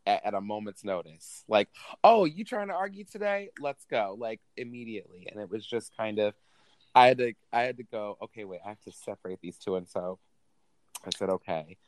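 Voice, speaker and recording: A man's voice: on the low side, lively intonation, fairly quick, dry recording. A man in casual chat, animatedly acting out quotes with lots of filler words.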